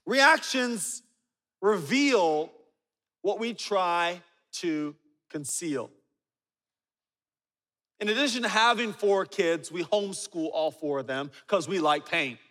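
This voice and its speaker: A man in his forties preaching a sermon, telling stories with humor and dropping low and quiet for asides. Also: animated, takes it slowly, long pauses. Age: 40s. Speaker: pastor. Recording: reverberant hall.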